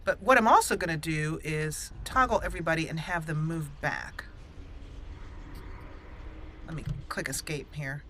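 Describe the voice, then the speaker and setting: A woman's voice: deep voice, measured pace, pauses often, background noise. A woman hosting a game playthrough, fretfully talking through each move as she plays. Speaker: let's play host. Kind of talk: gameplay commentary.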